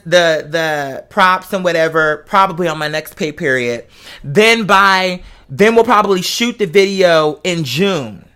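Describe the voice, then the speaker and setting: A man's voice: high-pitched, sharp tone. A man in a casual, chatty monologue, speaking in a sassy, campy, slangy and emphatic way.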